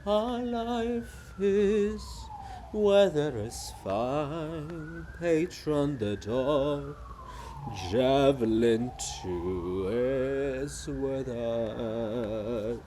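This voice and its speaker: A man voicing a mocking, excitable chorus of gossiping living monuments in a theatrical reading. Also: mid-range voice, very slow, slight background noise. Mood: defiant singing. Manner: jeering, excitable, taunting.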